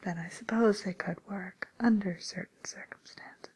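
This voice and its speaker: A woman playing a polite, tactful matchmaker for villains in a whispered ASMR role play, speaking breathily with gentle, hesitant pauses. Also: mid-range voice, breathy edge. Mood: tactful, gently amused.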